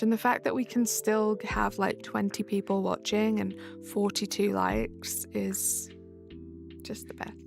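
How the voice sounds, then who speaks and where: A woman's voice: mid-range voice, gravelly. A young woman live-streaming a game, chatting casually and answering viewers in chat as she plays. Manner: chatty, reads and answers chat while playing. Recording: faint background music.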